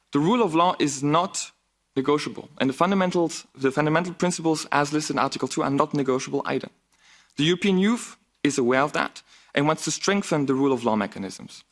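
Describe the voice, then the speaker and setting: Young man, twenties, Bulgarian native speaker, formal earnest address, emphatic delivery. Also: medium pitch. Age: 20s. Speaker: youth delegate. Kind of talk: formal speech. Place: parliament chamber.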